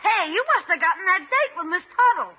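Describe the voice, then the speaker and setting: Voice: very high-pitched, lively intonation, brisk. An actor playing a brash, sassy young nephew who wisecracks in slang in a 1950s radio sitcom. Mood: teasing. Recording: tinny 1950s radio recording.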